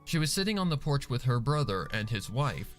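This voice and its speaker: Animated man narrating a dramatic story. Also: mid-range voice, a little fast. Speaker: fan fiction reader, narrator. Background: background music.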